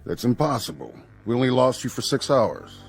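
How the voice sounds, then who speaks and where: A man's voice: medium pitch, big pitch swings, normal speed, faint music behind. An actor playing a skeptical male general in a radio drama, speaking with curt authority. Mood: skeptical, firm. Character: skeptical, commanding male air force general.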